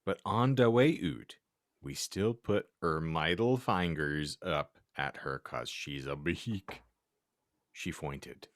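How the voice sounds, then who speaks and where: A male podcast host giving a theatrical, mock-serious comedic reading of fan fiction with deliberate delivery. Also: rumbling low, dry recording, studio quality.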